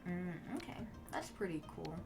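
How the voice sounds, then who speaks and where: A woman whose first language is Mandarin, chatting casually with viewers while she plays a game as a streamer, in a relaxed and musing way. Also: fairly low pitch, moderate pace, creaky. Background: game music.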